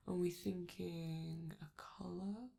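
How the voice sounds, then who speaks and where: A woman voicing a flamboyant, doting robot celebrity in an intimate ASMR roleplay, speaking theatrically and affectionately with drawn-out phrases and a sometimes breathy, creaky voice. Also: fairly low pitch, fairly even intonation, warm tone, heavily acted. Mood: reassuring, playful. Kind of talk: ASMR comfort roleplay, addressing the listener.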